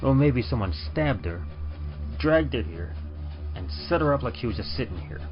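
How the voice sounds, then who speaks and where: A man's voice: mid-range voice, very animated, moderate pace, pitched above the performer's own voice, natural voice. A man voicing a stubborn, know-it-all amateur sleuth with an eager, insistent manner in an old-time radio drama. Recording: dull lo-fi audio under music.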